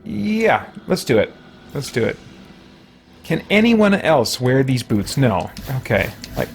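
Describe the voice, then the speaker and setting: A man's voice: mid-range voice, very animated, slow, vocal fry, slight background noise. A man hosting a game livestream, thinking aloud in a casual, rambling way as he chats with viewers.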